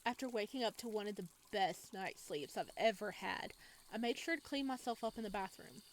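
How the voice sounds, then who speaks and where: A woman hosting a let's play, reading a visual novel aloud with playful, expressive casual commentary. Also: mid-range voice, a little fast, a little hiss or hum.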